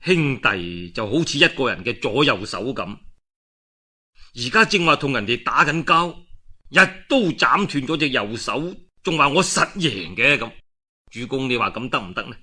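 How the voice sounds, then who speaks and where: A man's voice: mid-range voice, big pitch swings, normal speed, sharp tone, slightly rough, full character voice. A man with a Cantonese accent playing an earnest, loyal official who admonishes his lord with rhetorical questions. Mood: earnest, admonishing. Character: earnest, loyal male official pleading for brotherhood.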